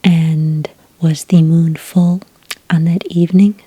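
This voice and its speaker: Breathy, whispering female intake agent played by a woman. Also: sits low, level tone, takes it slowly, no echo, heavily acted. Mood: politely inquiring. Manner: gentle, methodical, patient questioning with hesitations. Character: calm, polite, thorough female agent taking werewolf sighting reports. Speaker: ASMR roleplay performer. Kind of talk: soft-spoken ASMR roleplay.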